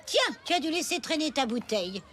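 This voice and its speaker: A woman voicing a flustered but patient cartoon schoolteacher, expressive and explanatory. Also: high-pitched, fairly quick, background noise. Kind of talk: French animated cartoon acting.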